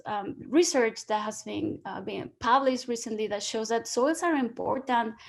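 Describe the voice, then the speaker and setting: A woman's voice: a little high, vocal fry. A woman from Spain with a Spanish accent, giving an online science lecture as a guest speaker, explaining step by step with frequent fillers and restarts. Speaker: guest lecturer, soil ecologist. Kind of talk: online presentation. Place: home or office, video call. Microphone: video call.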